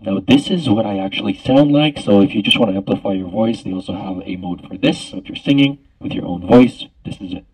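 A man's voice: on the low side, fairly quick, a little mellow. A man demonstrating a product's sound effects through a karaoke microphone with an echo effect. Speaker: product reviewer. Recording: echoey hall effect through distant speaker.

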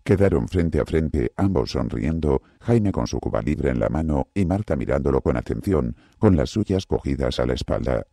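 A man narrating a novel as an audiobook, with an expressive delivery. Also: normal speed, few pauses, no room sound, clean studio sound. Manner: very deep, gravelly and expressive. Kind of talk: scripted narration.

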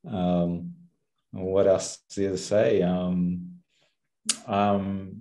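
A man with a New Zealand accent, low in pitch and unhurried, with a mellow, dark tone. He talks haltingly in a relaxed interview, full of ums and restarts, through a headset mic in a small room. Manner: hesitant, many ums and restarts, soft-spoken. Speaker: guest. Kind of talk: casual interview over video call. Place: small room with chalkboard, indoors.

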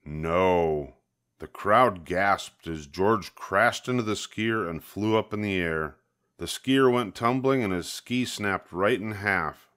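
A man's voice: low-pitched, takes it slowly, a bit bright. An older man reading a children's picture book aloud, expressively, leaning on key words. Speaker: storybook reader.

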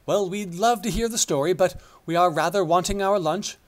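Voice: medium pitch, moderate pace, voice pushed up, lightly acted. A reader doing a vain, formal king. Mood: impatient. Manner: formal royal speech. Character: vain, lunch-minded male king.

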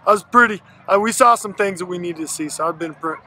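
An American man in his thirties, a high school football coach, giving a postgame interview in a halting, folksy way with repeated words. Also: bright, forceful tone. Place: outdoor football field at night. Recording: noisy outdoor field, vehicle-like ambience.

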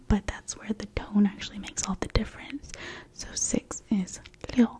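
A woman whispering a gentle, patient language lesson in ASMR style, her first languages Mandarin and Cantonese. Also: mid-range voice. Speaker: language teacher.

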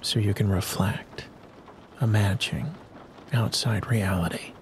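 Slow, soothing low-voiced man guiding sleep hypnosis. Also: a little hiss or hum. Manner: soothing, unhurried, with long pauses. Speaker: sleep hypnosis narrator and host. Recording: rain and thunderstorm sounds behind the voice. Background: rain and distant thunder ambience.

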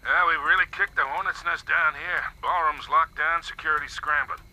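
A man playing a gruff, wry getaway accomplice with brisk, colloquial talk in a video game. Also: a little high, steady tone, sharp tone, rough recording. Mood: businesslike. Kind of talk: video game radio-chatter acting.

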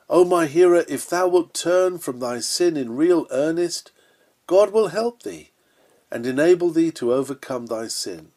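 A man's voice: on the high side, a little mellow. A man reading an old-fashioned sermon aloud in an earnest, solemn and emphatic preaching manner. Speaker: sermon reader.

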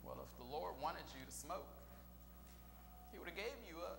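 A man's voice: on the high side, normal speed, gravelly, background noise. A middle-aged male minister preaching call-and-response style, chanting phrases and quizzing the Scripture reader, recorded on a pulpit mic in a small church. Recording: echoey church room, long reverb, faint organ.

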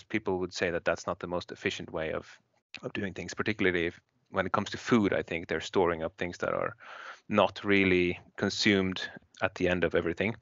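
A man's voice: low-pitched, fairly bright tone, no echo. A man from Norway with a Norwegian accent, giving a tentative, reflective comment in a research discussion. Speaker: research seminar participant. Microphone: video call. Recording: dull lo-fi video call audio.

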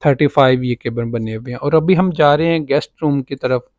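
A man's voice: mid-range voice, fairly quick, darkish tone, no room sound, muffled recording. A man with a Pakistani Urdu accent gives a casual walking tour of a farmhouse as a property agent, pointing things out as he goes with many fillers. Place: outdoors on a farm property, walking.

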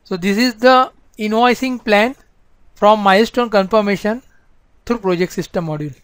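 A woman with a smooth, clear voice works as a software instructor. She explains procedures step by step in a training lecture, at a middling pitch. The sound is dry and close. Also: moderate pace, no room sound.

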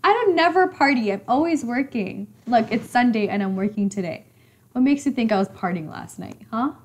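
A young woman in her mid-twenties hosts a casual live stream from an indoor room, her voice a bit raspy today. She chats easily and teases her viewers as she reads their comments, with a light laugh never far off. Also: on the high side, lively intonation, fairly quick. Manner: chatty, teasing, laughs often. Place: indoor room with leather couch.

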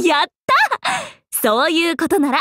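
A woman voicing a playful, cheerful young swordswoman in an anime mobile game, breezy, expressive and teasing like a big sister. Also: extremely high pitch, unhurried, no echo, studio quality, exaggerated voice. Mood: playful, eager. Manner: breezy, expressive, teasing, casual with sudden formal turns.

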